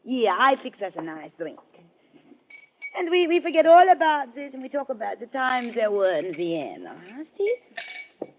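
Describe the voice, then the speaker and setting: An actress playing an affectionate, coaxing femme fatale, intimate and theatrical, in an old-time radio drama. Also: female voice, high voice, very animated, a little slow, slight background noise, exaggerated voice. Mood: seductive, coaxing. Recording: dull vintage radio recording.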